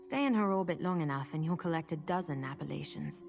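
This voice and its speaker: Masked female wanderer, kind yet guarded, wry understatement, fantasy game, lowish pitch, music bed. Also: quick pace, creaky. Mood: wry, earnest. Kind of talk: fantasy video game acting.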